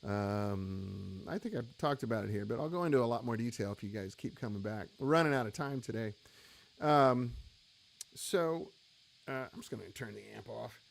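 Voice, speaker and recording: A man's voice: mid-range voice, lively intonation, normal speed, vocal fry. A man in his late fifties, an amp maker hosting a live web show, chatting candidly and reading out viewer comments, with lots of ums and uhs as he rambles on. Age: 50s. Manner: rambling and candid, with many fillers.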